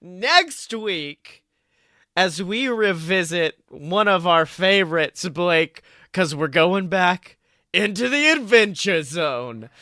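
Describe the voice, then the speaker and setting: A man with a high, bright voice hosts a casual podcast. He sounds emphatic and playful, a bit of a showman, in a dry, close recording. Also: lively intonation, takes it slowly, no room sound. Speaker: podcast host.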